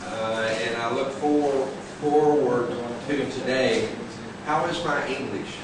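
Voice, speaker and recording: A man giving a classroom lecture as a visiting lecturer, halting and pausing with many fillers. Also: mid-range voice. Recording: echoey hall, voice far from mic, loud background hiss.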